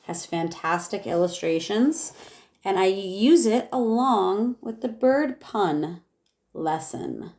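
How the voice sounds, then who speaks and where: Slow-paced woman reading a picture book. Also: medium pitch, lively intonation, a little mellow. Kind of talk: read-aloud storytelling with casual asides.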